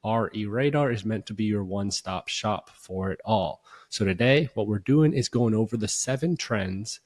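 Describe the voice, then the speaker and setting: A young adult man hosting a show and explaining things in a casual, conversational way that repeats itself, his voice a little hoarse from a recent illness. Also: fairly low pitch, moderate pace, darkish tone. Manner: conversational, filler-heavy, repeats himself. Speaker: host.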